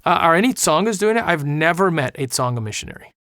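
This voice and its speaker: A man's voice: on the high side, expressive, quick pace, a bit bright, no echo, studio quality. A middle-aged missionary man in an earnest podcast conversation, talking with preacher-like passion and repeating words as he drives points home. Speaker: missionary guest.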